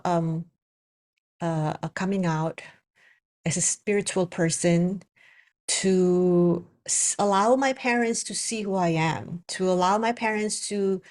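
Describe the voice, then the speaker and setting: A woman with an Indonesian accent, a spiritual coach and psychic reader, talking casually to her viewers with many pauses and fillers. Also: lowish voice, darkish tone. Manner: hesitant, many fillers and restarts. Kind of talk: casual spoken video message.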